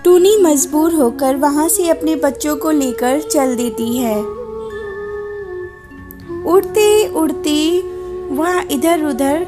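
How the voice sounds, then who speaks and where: A woman reading scripted narration for a children's animated moral story. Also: sits high, takes it slowly, pauses often. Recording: music behind the voice.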